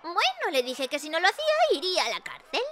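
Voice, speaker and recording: Casting: chirpy, bossy cartoon dog secretary, Spain accent, extremely high and bright. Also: female voice, huge pitch swings, fast, slight background noise, full character voice. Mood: eager, self-justifying. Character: eager, bossy cartoon dog secretary, female, naively authoritarian. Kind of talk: animated parody fandub acting.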